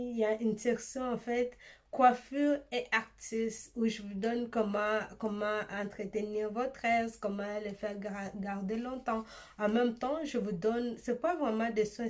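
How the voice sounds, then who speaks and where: A young woman from eastern Congo, Swahili by birth, answers her viewers' questions in a relaxed vlog. She talks at a middle pitch and often stops to search for words, filling the gaps with "euh". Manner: hesitant, many "euh" fillers and restarts. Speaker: hair care YouTuber.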